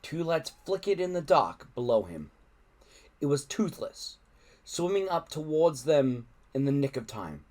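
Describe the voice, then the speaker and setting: A man reading a children's adventure book aloud in an expressive narrating style. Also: on the high side, measured pace. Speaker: audiobook-style reader.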